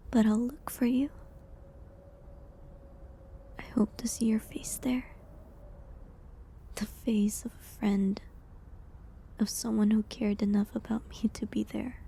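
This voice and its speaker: A woman playing a gentle, resigned prisoner accused of witchcraft in an intimate medieval audio drama, with a breathy, half-whispered, hesitant and pleading delivery. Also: medium pitch, a little hiss or hum. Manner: slow, hesitant, pleading, slightly formal old-fashioned wording.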